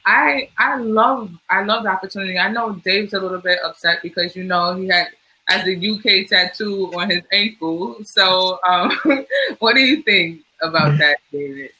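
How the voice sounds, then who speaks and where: A woman speaking as a panelist in a casual discussion, in a measured, thoughtful way. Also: medium pitch, bright, forceful tone, poor audio.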